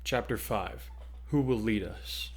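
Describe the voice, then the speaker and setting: A man's voice: lowish voice, slow pace, reverberant. A man who hosts and narrates, moving between casual chat and dramatic story reading.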